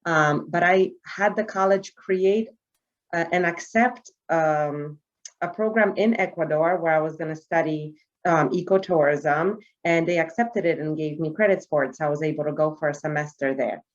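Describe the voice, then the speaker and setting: A woman's voice: sits low, moderate pace, dull audio. A woman, giving a chatty webinar talk as a travel sales trainer, with many fillers and restarts. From United States. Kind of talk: webinar presentation.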